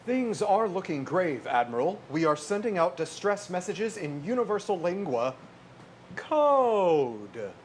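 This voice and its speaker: A man playing a formal, logical starship officer with deadpan delivery in a staged parody. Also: sits high, a little slow. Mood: formal, grave. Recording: live stage recording with clear noise.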